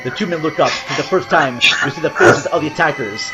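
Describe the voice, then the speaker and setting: A man's voice: on the high side, brisk, highs cut off. A man giving grave, theatrical scripted narration for a horror audio drama. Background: loud music and sound effects.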